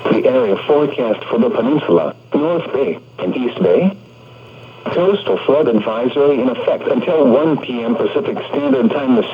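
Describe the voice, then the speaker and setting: A man's voice: medium pitch, slight background noise. Muffled synthetic male weather radio announcer. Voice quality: synthetic text-to-speech voice. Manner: impersonal, measured, clipped phrases. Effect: weather radio broadcast. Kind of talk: automated scripted forecast reading.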